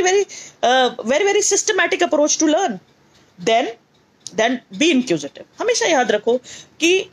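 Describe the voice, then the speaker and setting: A middle-aged woman with a North Indian accent speaks in a high voice as a motivational teacher. She mixes Hindi and English, repeats key phrases for emphasis and poses rhetorical questions to her learners.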